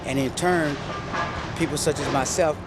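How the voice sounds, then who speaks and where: A man's voice: on the high side, fairly even intonation, moderate pace. A homeless man being interviewed outdoors, talking earnestly and reflectively and often ending with "man". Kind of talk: candid street interview. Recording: loud traffic noise outdoors.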